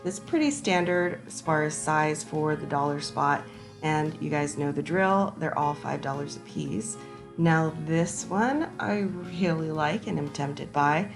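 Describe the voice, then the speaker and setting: Woman, hoarse, raspy, low-pitched, gravelly, chatty vlog voice-over, soft music behind. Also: measured pace. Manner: chatty, enthusiastic, addresses viewers as friends. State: hoarse from a sinus infection. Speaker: shopping vlogger. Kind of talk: casual shop-with-me voice-over.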